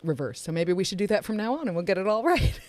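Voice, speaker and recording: A woman's voice: medium pitch, very animated, quick pace, a little mellow. A woman co-hosting a casual livestream, chatty and rambling, often laughing. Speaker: podcast co-host.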